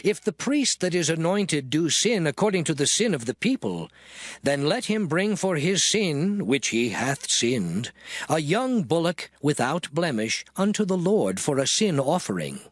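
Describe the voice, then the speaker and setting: An actor playing a solemn lawgiving voice in a dramatized Bible reading, declaiming scripture. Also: male voice, a little high, big pitch swings, measured pace, no echo. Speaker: scripture reader. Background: music under the voice.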